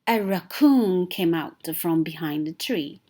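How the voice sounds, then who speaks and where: A woman reads a children's picture book aloud at an unhurried pace, and her pitch rises and falls widely for young listeners. Every line comes out clear and deliberate. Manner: slow, clear and expressive storytelling. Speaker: children's story reader.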